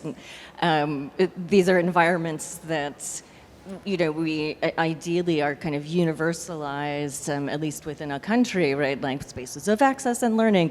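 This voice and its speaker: A woman moderating a museum panel, asking questions in a measured way. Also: deep voice. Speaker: moderator, curator. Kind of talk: panel conversation. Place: museum event space with audience. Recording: long reverb of a large gallery hall.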